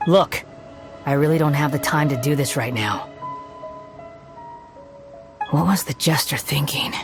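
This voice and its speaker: A woman's voice: low voice, a little slow. A woman voicing an arrogant, volatile young male villain in a video game, sneering and theatrical, with music behind. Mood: irritated. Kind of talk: scripted video game voice acting.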